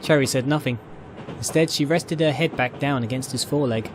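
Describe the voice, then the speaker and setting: A man's voice: mid-range voice, fairly quick, background noise. Man narrating a story over faint ambience. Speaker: narrator, fan fiction reader. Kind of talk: scripted dramatic story narration.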